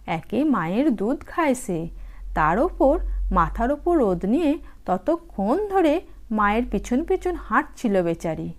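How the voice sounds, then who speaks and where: Slow, high-pitched woman telling a children's story. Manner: animated, unhurried, dramatic phrasing. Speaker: storyteller, audiobook reader. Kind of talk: expressive children's story narration.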